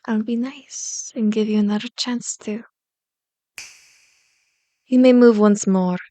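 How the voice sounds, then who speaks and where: A woman's voice: mid-range voice, fairly even intonation, mellow, natural voice. A woman playing a powerful, manipulative female vampire in an ASMR roleplay, seductive and condescending, slipping into whispers as she commands and coaxes. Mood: amused disdain. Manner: slow, commanding, mock-sweet taunting with whispered words.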